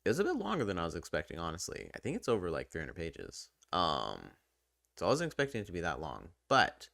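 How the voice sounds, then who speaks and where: Low-voiced man giving a casual game review. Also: huge pitch swings, fast talker, gravelly, a bit bright, no room sound, clean studio sound. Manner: hesitant, conversational, hedging opinions as he goes. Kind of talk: casual unscripted review commentary.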